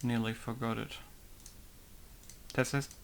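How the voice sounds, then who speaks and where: Man, unhurried, gravelly, low-key and wry, talking through a mod tutorial at his desk mic. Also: little pitch movement, slight background noise. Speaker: game modder. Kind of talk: casual screen-recorded tutorial commentary.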